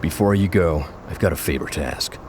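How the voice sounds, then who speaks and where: A man's voice: sits low, fairly quick, noisy background, close to an everyday voice. An actor playing an earnest former policeman turned committed resistance fighter in a video game cutscene. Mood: resolute.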